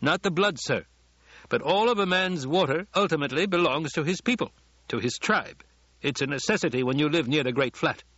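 A man voicing a calm, dangerous ecologist who lectures with precise, formal courtesy in an audiobook. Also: on the high side, a little fast, no echo, muffled recording, pitched above the performer's own voice. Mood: lecturing. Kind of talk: audiobook character dialogue.

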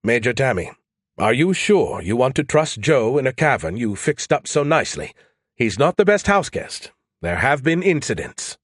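A man voicing a cocky, chatty artificial intelligence in an audiobook, talking in a loud, eager, teasing way. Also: mid-range voice, sing-song, moderate pace, no echo, studio quality. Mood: mocking, teasing.

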